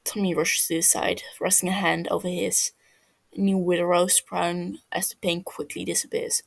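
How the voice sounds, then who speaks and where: A woman reading a fan fiction story aloud in a hesitant, halting narration. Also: lowish voice, flat delivery, vocal fry.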